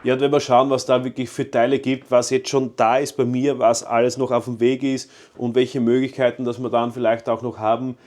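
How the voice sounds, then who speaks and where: A man's voice: mid-range voice, flat delivery, a little fast, few pauses, darkish tone, a little hiss or hum. A man with an Austrian accent talking casually to his viewers as a motorcycle vlogger, enthusiastic and rambling with fillers. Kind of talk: casual vlog talk to viewers.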